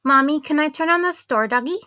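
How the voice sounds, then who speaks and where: A woman's voice: high-pitched, a little fast, pushed and bright, dry recording, clean studio sound, voice pushed up. A woman voicing an eager, chirpy little girl in an audiobook, with a childlike, pleading singsong. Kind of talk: audiobook character voice.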